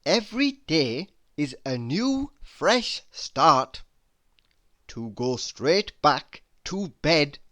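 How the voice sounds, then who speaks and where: A man reading humorous quotes aloud in a deliberate, mock-inspirational manner. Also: a little high, sing-song, sharp tone. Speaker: reader.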